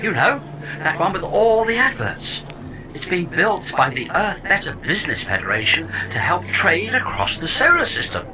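A sarcastic, talkative companion from a comedy audio drama played by an actor, delivering everything with mock grandeur. The pitch leaps wildly from line to line, and there is clear noise and ambience behind the voice. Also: big pitch swings, normal speed, close to an everyday voice. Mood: mock-grand, sardonic.

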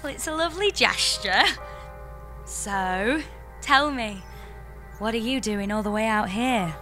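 An actress plays a lonely, easily exasperated woman space traveller in a sci-fi comedy. Her voice is high and bright, swinging eagerly between sarcasm and gushing flirtation. Faint music sits underneath. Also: unhurried. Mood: flirty. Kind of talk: scripted audio comedy sci-fi drama.

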